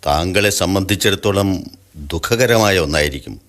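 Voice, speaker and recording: A man playing a government official in a radio drama, formal and measured, dropping English apologies. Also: deep voice, fairly bright tone. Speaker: official from the high commission.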